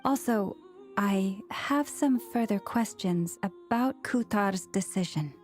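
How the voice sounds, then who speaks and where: An actress playing a calm, gracious young woman in a video game, speaking with measured, polite formality. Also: mid-range voice, natural voice. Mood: gentle explaining. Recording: music behind the voice.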